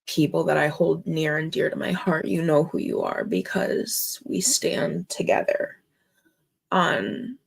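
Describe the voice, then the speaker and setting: A woman from Minnesota hosting her own show, speaking with deep emotion in halting, earnest commentary. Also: lively intonation, vocal fry, breathy edge. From Minnesota, USA. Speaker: host. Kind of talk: emotional personal commentary vlog.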